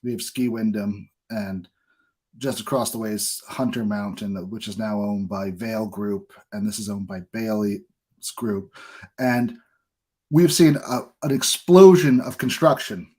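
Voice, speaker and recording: A man's voice: fairly low pitch, unhurried, warm tone, husky. An American man hosting a podcast, chatting casually and offering his own opinions in an informal way. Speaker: podcast host. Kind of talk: casual conversational interview.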